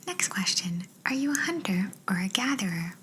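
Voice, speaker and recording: A woman's voice: medium pitch, big pitch swings, moderate pace, a bit bright, a little hiss or hum. A woman whispering breathily and playfully as a quirky job interviewer in an ASMR roleplay, close to the microphone. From Canada. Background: writing sounds.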